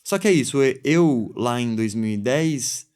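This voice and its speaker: A man's voice: medium pitch, unhurried, smooth. A man in his thirties with a Brazilian accent, a podcast guest chatting casually about his music, rambling with lots of fillers. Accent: Brazilian Portuguese, São Paulo countryside. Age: 30s. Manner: relaxed, rambling, many fillers. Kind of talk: casual chat.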